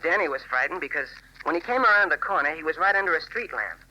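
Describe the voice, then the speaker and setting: Cub reporter teen: keen and sincere, high-edged sharp tone, 1940s radio acting. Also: male voice, animated, quick pace, lo-fi sound. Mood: earnest, urgent. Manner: earnest, boyish, eager storytelling. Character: eager, earnest teenage cub reporter boy.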